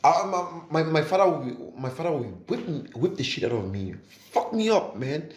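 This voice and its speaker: Halting, informal man in an echoey room. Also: a little high, very animated. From Cameroon and Germany. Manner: halting, repeats words, informal "man" and "bro". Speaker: podcast speaker. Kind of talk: casual chat. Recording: roomy, echoey podcast recording.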